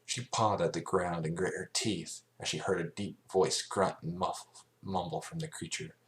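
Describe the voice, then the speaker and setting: A man's voice: deep voice, fairly even intonation, normal speed. A man reading a scripted story aloud as an amateur narrator, stumbling now and then over the words. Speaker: amateur fan fiction reader.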